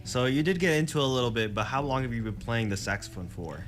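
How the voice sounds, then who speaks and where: A man's voice: medium pitch, animated, fast talker, fairly bright tone, slight background noise. A man hosting a radio interview, asking short questions and giving brief encouraging replies. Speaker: radio show host. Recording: radio studio with faint music behind.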